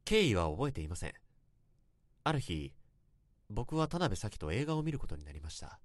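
An actor playing an earnest young office worker who narrates a past infatuation in a radio drama, with polite, literary phrasing. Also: male voice, on the low side, big pitch swings, normal speed, no echo. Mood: earnest, confessional. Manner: polite, formal, literary storytelling.

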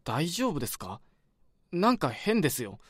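A polite young junior coworker. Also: male voice, fairly high pitch, wildly swooping pitch, moderate pace, no echo, studio quality. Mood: concerned. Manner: polite, eager, concerned. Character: polite young male junior office worker. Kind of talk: radio drama acting.

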